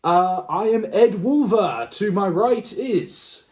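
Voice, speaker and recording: A man's voice: high voice, very animated, slow pace, a little mellow. A man hosting a podcast in casual, wry banter, swearing freely. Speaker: podcast host.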